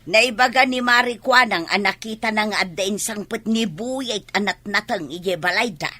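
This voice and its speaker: Woman acting a bright-voiced, feisty Ilocano village neighbour. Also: mid-range voice, normal speed, no echo. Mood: suspicious, indignant. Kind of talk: Ilocano comedy radio drama acting.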